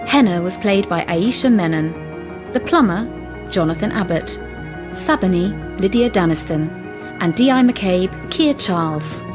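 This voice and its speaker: Lowish-voiced woman announcer over music. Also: animated, a little slow. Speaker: closing credits announcer. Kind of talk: scripted broadcast announcement.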